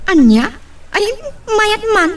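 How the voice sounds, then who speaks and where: A woman's voice: very high voice, big pitch swings, slow pace, distant mic, exaggerated voice. A woman with a Filipino accent acting an outspoken, concerned friend in a radio drama. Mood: advising.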